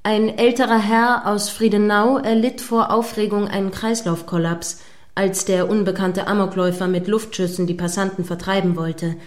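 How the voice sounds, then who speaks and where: A woman acts out a female radio news presenter in a mock live broadcast. Her voice sits at a medium pitch. She is earnest and prim, setting out long, formal sentences in a measured, correcting manner. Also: steady tone, close to an everyday voice. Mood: official, grave. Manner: formal, measured, wordy phrasing.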